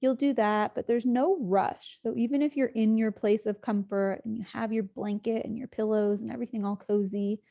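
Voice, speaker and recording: A woman leading a guided sleep meditation, speaking slowly and soothingly with long pauses. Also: fairly high pitch, steady tone, creaky, mellow, dull audio.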